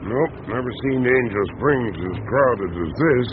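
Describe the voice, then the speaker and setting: A man's voice: medium pitch, lively intonation, a little slow, lightly acted. An actor playing a folksy, sociable small-town man in a radio drama, neighbourly and a little hesitant. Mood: welcoming. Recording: phone-like band, clear background noise.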